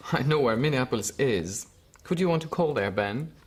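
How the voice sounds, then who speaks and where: Suspicious schoolteacher, played by a man, medium pitch, animated intonation, condescending, questioning, audio drama. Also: normal speed, natural voice. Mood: suspicious, amused. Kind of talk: radio drama acting.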